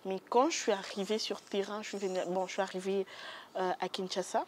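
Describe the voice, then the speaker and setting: Soft-spoken young Congolese French woman. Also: mid-range voice, steady tone, brisk, a little hiss or hum. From Democratic Republic of the Congo. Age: young adult. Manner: hesitant, many fillers, soft-spoken. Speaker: guest photographer. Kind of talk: interview answers. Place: TV studio.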